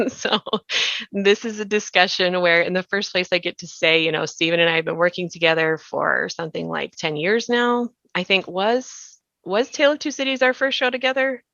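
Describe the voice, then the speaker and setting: Roomy, low-pitched woman hosting a podcast. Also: normal speed, a bit bright. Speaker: interview host, actor. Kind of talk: conversational podcast interview. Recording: roomy echo, dull lo-fi sound.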